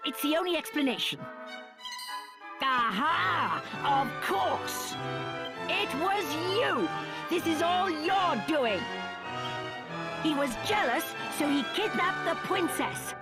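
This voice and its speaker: A man's voice: extremely high pitch, big pitch swings, slow pace, pauses often, heavily acted. A man voicing a spoiled, pompous young prince in a video game. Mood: accusing outrage. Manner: pompous, whining. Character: spoiled, petulant young prince, haughty and accusing. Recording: music under the dialogue.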